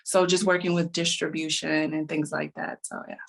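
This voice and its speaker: A woman guest talking casually in an interview, phrasing her thoughts in a halting, thoughtful way. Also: deep voice, flat delivery, gravelly. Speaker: interview guest, author and indie publisher.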